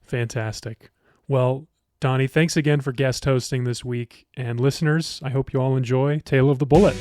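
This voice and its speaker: A man's voice: medium pitch, steady tone, normal speed, darkish tone, dry recording. A man hosting a podcast in a conversational, enthusiastic and appreciative way. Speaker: podcast host.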